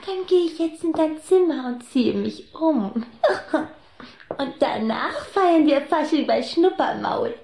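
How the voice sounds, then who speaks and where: A woman's voice: sits high, lively intonation, takes it slowly, vocal fry, warm tone, echoey room, full character voice. A woman playing a sweet, coy and insistent little vampire girl in a children's radio play. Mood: delighted.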